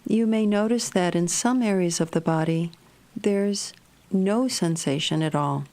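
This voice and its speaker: A woman narrating a guided meditation in a gentle, measured, soothing manner. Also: fairly low pitch.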